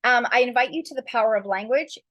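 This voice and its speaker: A woman's voice: on the high side, fast talker, fairly bright tone, no echo, poor audio. A woman author giving casual, encouraging writing advice in a loose, rambling way, full of fillers and spiritual turns of phrase. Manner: loose, rambling, heavy with "like" and "you know".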